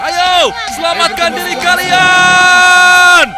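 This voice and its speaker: Cartoon extra: frantic villager yelling warnings, high pitch. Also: male voice, monotone, extremely slow, over loud music, over a noisy crowd, exaggerated voice. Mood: alarmed. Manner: shouting warnings. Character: panicked male villager raising alarm.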